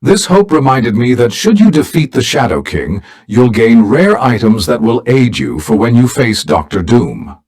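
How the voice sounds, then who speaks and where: A man's voice: mid-range voice, sing-song, darkish tone. A man acting a dignified, formal older mentor who leads a team of heroes in a video game. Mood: earnest, grave. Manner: formal, measured, grave.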